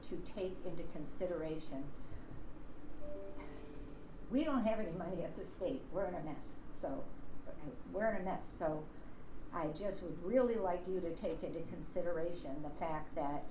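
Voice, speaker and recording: A woman speaking during public comment at a town board meeting, earnest and pausing often with fillers. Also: on the low side. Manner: earnest, with many pauses and fillers. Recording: roomy government-access recording with background hiss.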